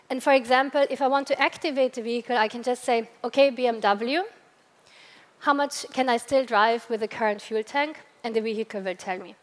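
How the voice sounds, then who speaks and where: A woman from Austria with an Austrian accent giving a product presentation at a press launch, explaining step by step with occasional hesitations and restarts. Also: a little high. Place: large event hall at a press launch. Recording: long reverb of a large hall.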